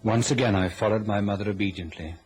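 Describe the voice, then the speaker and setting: A man's voice: fairly low pitch, fast talker, darkish tone, slight background noise, close to an everyday voice, rough recording. A young man playing an anxious, obedient son cowed by his mother, hesitant and uneasy, in a radio drama. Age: young adult. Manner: hesitant, stammering protests. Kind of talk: radio drama acting and narration.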